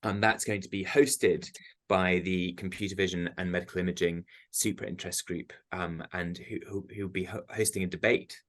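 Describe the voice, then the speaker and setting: Male group organiser, casual update delivered with plenty of ums, slightly low voice. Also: steady tone, normal speed, fairly bright tone. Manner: chatty, many ums. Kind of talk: meeting update. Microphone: computer mic on a video call.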